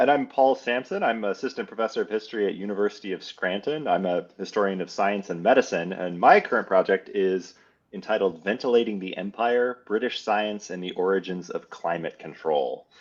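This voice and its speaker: A man, a history professor, explaining his work as a guest in a measured conversational academic style, on dull lo-fi audio. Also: sits low.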